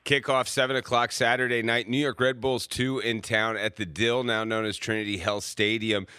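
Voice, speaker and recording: A man's voice: medium pitch, flat delivery, moderate pace, bright, forceful tone, clean tone, no echo. A male radio host asking loose, chatty questions on a sports talk show.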